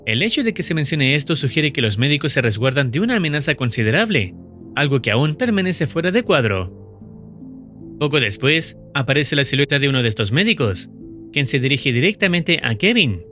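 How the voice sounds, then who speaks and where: Guy doing a scripted recap voice-over, pitch bouncing up and down a lot, faint music under it. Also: sing-song, fairly quick, fairly bright tone. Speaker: video narrator explaining a horror series. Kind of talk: scripted explanatory narration.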